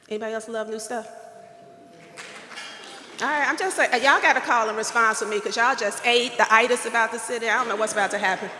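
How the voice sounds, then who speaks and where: A woman's voice: fairly high pitch, pushed and bright, slight background noise. Woman with a light, girlish voice in an echoey ballroom. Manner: playful, preacherly, colloquial. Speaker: keynote speaker at awards dinner. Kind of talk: lively call-and-response speech. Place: hotel ballroom. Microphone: podium microphone.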